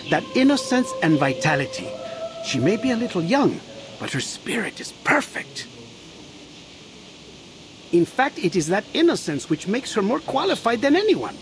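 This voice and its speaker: An actor playing a passionate, eloquent Renaissance painter, charming and persuasive, in a visual novel game. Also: male voice, high voice, noisy background. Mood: admiring. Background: music.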